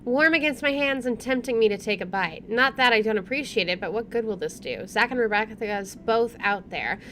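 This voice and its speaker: A woman streamer reading a visual novel's narration aloud in an expressive, storytelling style. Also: a little high, normal speed. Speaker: let's play streamer. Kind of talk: expressive read-aloud narration of game text. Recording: faint background noise.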